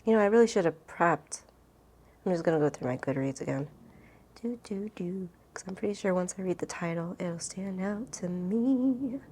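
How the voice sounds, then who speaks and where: A woman's voice: fairly low pitch, animated, normal speed, a little mellow, breathy edge. A woman chatting casually as a book vlogger, thinking aloud informally and interrupting herself as she goes.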